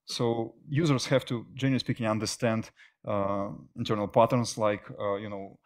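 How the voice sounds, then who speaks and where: A middle-aged man presenting technical material as a conference speaker, often pausing mid-phrase. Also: on the low side, fairly even intonation. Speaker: conference speaker, software engineer. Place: indoor stage at a tech meetup.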